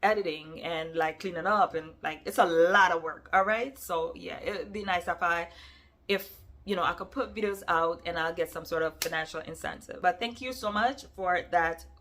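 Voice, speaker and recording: A woman's voice: lowish voice, moderate pace. A woman from Jamaica with a Jamaican accent tinged with New York, answering viewer questions in a casual, emphatic vlog. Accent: Jamaican mixed with New York. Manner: animated, emphatic, addresses viewers directly.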